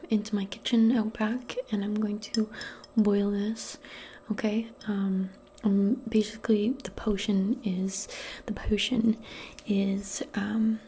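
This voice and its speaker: A woman speaks in a soft, breathy half-whisper, keeping her pitch very even and her pace slow. She plays a gentle modern witch in an unhurried, improvised ASMR roleplay, with faint noise behind her.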